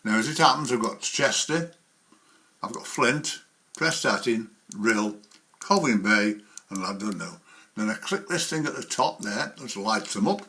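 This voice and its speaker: A man's voice: on the low side, animated, a little slow, bright, forceful tone. A man giving a casual, rambling spoken tutorial as a presenter, explaining each step as he goes. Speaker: presenter of a model railway software tutorial.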